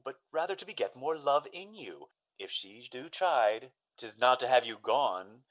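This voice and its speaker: Man playing an earnest young gentleman, animated delivery. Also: mid-range voice, poor audio. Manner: hesitant openings, then florid Shakespearean verse. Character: young gentleman lover, earnest, eager, courteous. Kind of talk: Shakespearean radio drama acting.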